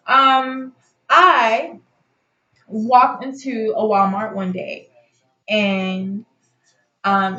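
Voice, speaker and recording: A woman's voice: on the high side, fairly bright tone. A woman telling a personal story in a casual, rambling, conversational way, like a vlogger chatting to her viewers. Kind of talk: casual storytime chat to camera. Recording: roomy echo, slightly dull lo-fi sound.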